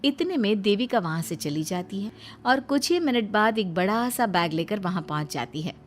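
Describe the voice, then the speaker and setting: A woman's voice: mid-range voice, expressive, normal speed, slight background noise. A woman narrating a scripted moral story as a storyteller.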